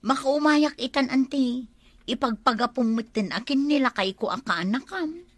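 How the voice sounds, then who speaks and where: A woman's voice: high-pitched, normal speed, no room sound. A woman with an Ilocano accent playing a whiny, pushy village woman in a comedy drama, complaining and pleading.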